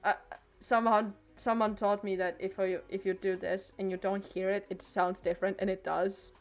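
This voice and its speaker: A woman's voice: medium pitch, flat delivery, moderate pace, soft background music. A teenage girl streaming from home on a desk microphone, chatting casually and hesitantly, trailing off and restarting her sentences. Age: late teens. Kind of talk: casual rambling stream chat.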